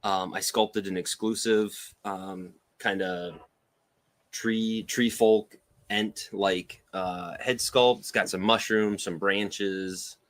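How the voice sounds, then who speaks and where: A man's voice: lowish voice, fairly even intonation, slow, fairly bright tone. A man on a podcast panel talking casually in short, informal phrases. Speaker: podcast panelist, toy sculptor. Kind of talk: casual chat.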